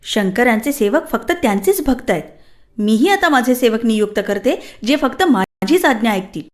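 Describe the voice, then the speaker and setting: A woman's voice: fairly high pitch, big pitch swings, normal speed. A woman voicing a proud, protective mother goddess in a children's cartoon, regal and commanding. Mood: resolute. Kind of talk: children's mythological cartoon dialogue.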